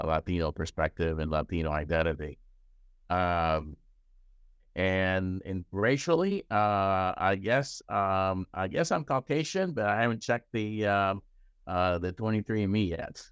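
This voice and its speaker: An older man from Cuba, a child psychiatrist and professor, talking about himself in a halting, casual interview full of fillers and restarts. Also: low-pitched, fairly bright tone, clean tone, no room sound.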